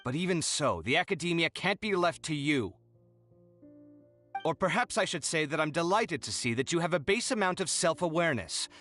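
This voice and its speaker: A proud, prickly young architect, his bright, fairly high voice swinging up and down as he sputters and protests. Light game music hums faintly in the back. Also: lively intonation, moderate pace. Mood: snide. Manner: exasperated, indignant, theatrical. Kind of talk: video game dialogue.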